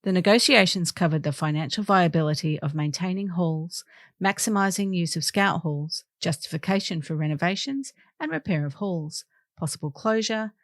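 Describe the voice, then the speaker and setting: A woman's voice: on the low side, no echo, clean studio sound. A woman reading local newspaper articles aloud as scripted narration. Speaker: volunteer newspaper reader.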